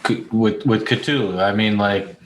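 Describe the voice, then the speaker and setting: A man's voice: on the low side, fairly even intonation, unhurried, rough recording. Blunt, sweary man hosting a casual chat. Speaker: podcast host.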